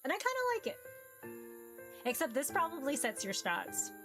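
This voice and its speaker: A woman's voice: sits high, very animated, normal speed. Playful woman chatting over music. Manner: playful, chatty, reacting aloud. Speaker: streamer playing a visual novel. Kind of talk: casual gaming stream chat.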